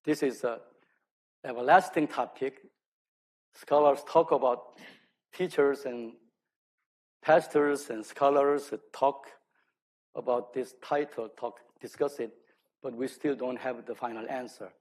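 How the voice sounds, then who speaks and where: Male lecturer, native Korean speaker with Korean accent. Medium pitch, halting delivery, self-deprecating academic talk. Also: steady tone. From South Korea. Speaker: lecturer, archaeology scholar. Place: speaking live to an audience at an event.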